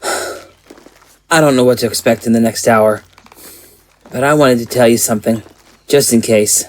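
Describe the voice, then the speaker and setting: A man's voice: medium pitch, measured pace, slight background noise, close to an everyday voice. A man playing an earnest, protective small-town sheriff in an audio drama, with a folksy, plain-spoken manner. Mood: earnest, hesitant.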